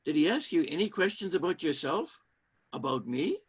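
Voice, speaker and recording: An actor in a radio play playing a controlling, secretive saboteur who poses as a kindly cinema owner and gives insistent orders. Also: male voice, a little high, big pitch swings, moderate pace, slightly rough. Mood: guarded, suspicious. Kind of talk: British-set radio play acting. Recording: dull lo-fi streamed audio.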